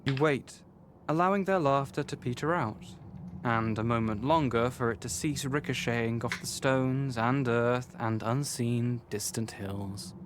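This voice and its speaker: A man narrates a visual novel as a formal, measured storyteller. His pitch sits in the middle range, and there is clear background noise with an ambient rumble under the voice. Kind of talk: scripted literary narration.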